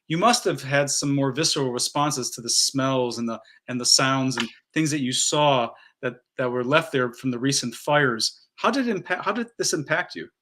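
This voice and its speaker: A man moderating an interview, putting wordy, carefully phrased questions in a formal, measured style. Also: medium pitch, sharp tone, slight background noise.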